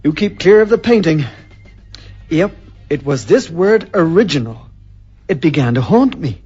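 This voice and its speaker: A dull, lo-fi radio drama recording with faint music features a grumpy husband. He is stubborn and exasperated, with menace under the complaint. His voice is a little high and emphatic. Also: lively intonation, measured pace, dark tone, slightly rough. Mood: bitter, wry. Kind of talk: old-time radio drama acting and narration.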